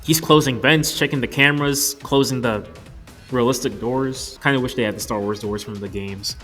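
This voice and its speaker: A man's voice: mid-range voice, steady tone, normal speed, a bit bright, faint music behind. A man in his twenties narrating an opinionated, scripted movie review in an informal way. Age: 20s. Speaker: video reviewer.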